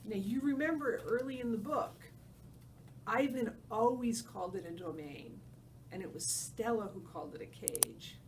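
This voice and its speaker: A woman's voice: a little high, moderate pace, a little mellow. A woman reading a story aloud as a teacher, narrating and putting questions to her students. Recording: distant mic with faint background noise.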